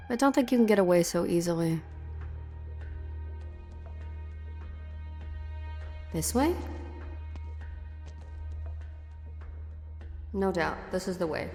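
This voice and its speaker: A woman's voice: mid-range voice, lightly acted. A woman voicing a cold, taunting assassin in a dramatic game dub, with a cool, menacing delivery. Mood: frustrated. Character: cold, taunting female enemy assassin hunting her prey.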